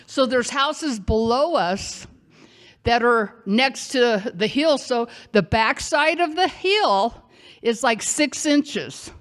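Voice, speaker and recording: A woman with a loud, projecting voice telling a personal story on a theater stage, animated and conversational. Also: high-pitched. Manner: animated, conversational, acts out shouting and quotes.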